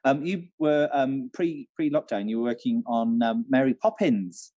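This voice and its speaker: Man, co-host, mellow dark tone, hesitant, agreeable, casual chat, dull lo-fi recording. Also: mid-range voice, normal speed. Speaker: co-host, venue manager.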